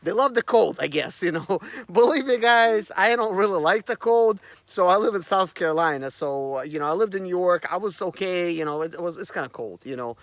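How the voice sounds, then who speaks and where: A man's voice: high voice, lively intonation, brisk, few pauses, a bit bright, dry recording. A man from Russia, hosting a casual vlog and rambling with lots of fillers. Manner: rambling, full of fillers like "like" and "you know". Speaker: vlog host. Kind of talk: casual chat to camera.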